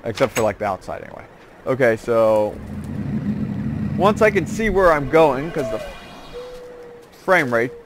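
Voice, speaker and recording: A man's voice: mid-range voice, big pitch swings, a little slow, long pauses. A man giving casual, rambling game commentary as host, drifting into joking asides. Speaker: host of a gaming series. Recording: clear background noise with clicks.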